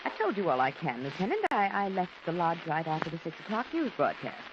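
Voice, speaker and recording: A woman's voice: fairly low pitch, sing-song, quick pace. An actress playing a scorned, scheming woman turned killer in a theatrical, heightened 1940s radio drama style. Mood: guarded. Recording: noisy, muffled old radio broadcast recording.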